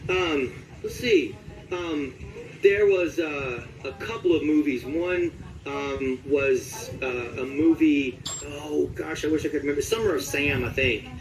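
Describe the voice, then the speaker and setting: A man giving a casual interview as a voice actor, speaking haltingly as he searches his memory. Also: fairly high pitch, lively intonation, slight background noise, rough recording.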